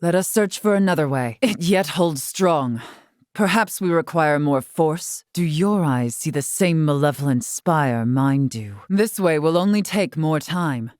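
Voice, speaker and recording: A dignified female warrior-scholar from a video game, with a fairly low voice. She speaks in stately, old-fashioned, carefully formal phrasing. The recording is dry, with no room sound. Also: animated, measured pace, darkish tone, dry recording. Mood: measured, watchful. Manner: stately, archaic formal diction. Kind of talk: scripted video game character lines.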